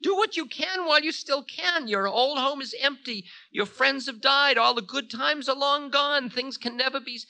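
A man's voice: very high-pitched, moderate pace, creaky, hardly any pauses, bright, forceful tone, voice pushed up, heavily acted. A man voicing Time as a cruel, indignant spirit of doom in a dramatic telling of a myth. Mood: ominous, threatening. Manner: indignant, ominous pronouncements. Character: Time personified, cruel, prim, menacing male spirit of doom. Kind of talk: dramatic mythological storytelling.

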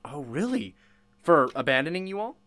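An actress playing a curious, chatty interviewer in a radio play, with a casual, reactive way of asking questions. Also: female voice, deep voice, very animated, moderate pace, fairly bright tone. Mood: inquisitive.

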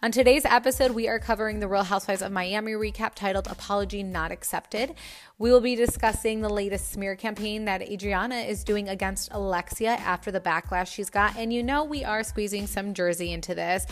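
A woman's voice: mid-range voice, brisk, slight background noise. Chatty woman podcast host, rambling. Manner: chatty, rambling with many fillers and restarts. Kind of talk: casual chat.

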